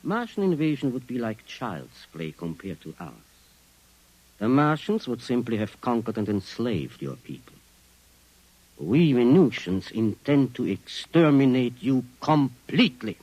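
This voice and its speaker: A coldly superior Venusian alien in a 1950s radio drama. He speaks in a calm, condescending and menacing way, and his pitch moves a lot. The voice is close and dry, with little room sound. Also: measured pace, no echo, rough recording. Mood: cool menace.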